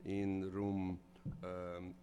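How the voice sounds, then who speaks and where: A man's voice: deep voice, monotone, very slow, warm tone, slight background noise. A man making program announcements at a conference, hesitant with fillers. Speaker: conference organizer.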